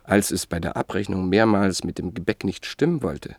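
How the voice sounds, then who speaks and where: A man narrating a radio play in a measured, solemn manner. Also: sits low, a little mellow, no room sound.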